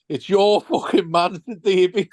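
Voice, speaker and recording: A man's voice: high voice, dry recording. A man co-hosting a football podcast, telling stories with lots of swearing, laughter and stumbling restarts. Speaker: podcast co-host. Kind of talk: casual football podcast banter.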